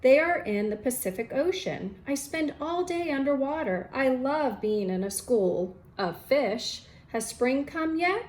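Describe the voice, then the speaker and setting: An adventurous little storybook fellow read by a woman writes eagerly to his friend. The voice sits fairly high and swings expressively, with slow, clear phrasing for young listeners. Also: animated, darkish tone. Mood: excited.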